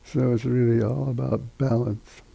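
Meditation teacher, older male, very dark mellow tone, husky, halting delivery with long pauses. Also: medium pitch, level tone, rough recording. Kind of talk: guided meditation instruction.

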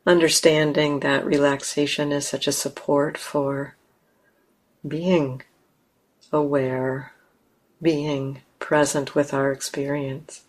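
A woman teaching a guided meditation in a gentle, unhurried way with long pauses. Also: sits low, level tone.

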